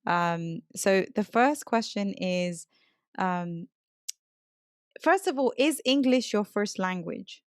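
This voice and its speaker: A woman hosts a casual interview podcast in a clear, resonant voice of medium pitch. The recording is dry, with very little room around her. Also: animated, takes it slowly, no echo. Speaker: podcast host and English teacher.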